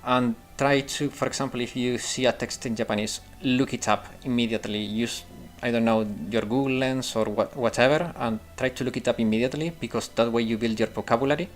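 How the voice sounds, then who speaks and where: A man's voice: mid-range voice, fairly even intonation, fairly quick, hardly any pauses, soft background music. Man chatting while gaming. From Italy. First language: Italian. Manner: rambling, thinks aloud with restarts and fillers. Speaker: streamer. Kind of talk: casual gameplay commentary and chat replies.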